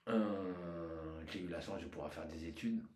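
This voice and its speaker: Echoey low-voiced Frenchman, Arabic speaker. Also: unhurried, darkish tone. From France, Paris region. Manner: emphatic, rhetorical questions, repeats phrases for emphasis. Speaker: football coach, podcast guest. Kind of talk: impassioned conversational interview. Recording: echoey room sound.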